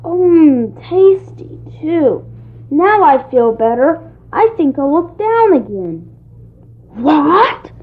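A high, bright boy's voice, a woman acting out young Jack, earnest and eager, speaking slowly with lots of rise and fall. The sound is thin and tinny, like an old phone line or an old radio broadcast. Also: very animated, heavily acted. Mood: wondering. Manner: earnest, eager boyish delivery.

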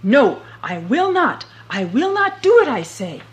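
A woman voicing a clever, cheeky young farm boy who talks brightly and slyly. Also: medium pitch, sing-song, unhurried. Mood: yelling.

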